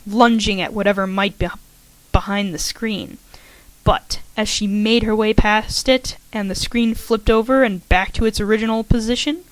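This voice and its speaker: A woman's voice: medium pitch, dry recording, rough recording. A woman reading fan fiction aloud, a little shaky, on a home microphone. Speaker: fanfiction reader. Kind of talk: amateur audiobook narration.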